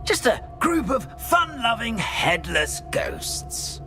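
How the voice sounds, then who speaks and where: A man voicing a genial, flustered gentleman ghost in a video game, polite and flowery with nervous hesitations. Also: very high-pitched, very animated, takes it slowly, over music, exaggerated voice. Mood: apologetic, eager. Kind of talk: video game character acting.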